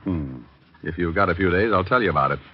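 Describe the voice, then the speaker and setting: Wisecracking American private eye, glib and flirtatious, low, dull vintage radio-drama sound. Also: male voice, animated, fast talker, breathy edge. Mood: mocking. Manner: glib, sardonic. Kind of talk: 1940s radio acting and first-person narration. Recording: dull, noisy 1940s radio recording.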